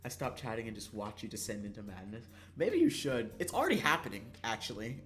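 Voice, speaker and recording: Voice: medium pitch, big pitch swings, a little fast. A young adult streamer chatting casually over a visual novel in a playful, theatrical way. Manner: theatrical, playful, bursts into yelling and laughter. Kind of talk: casual livestream chat and visual novel read-aloud. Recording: music behind the voice.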